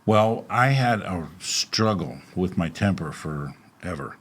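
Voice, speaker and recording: A man talking as a guest, telling personal stories in a casual, animated, self-deprecating way with laughs. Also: sits low, slow, breathy edge. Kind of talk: casual conversational storytelling.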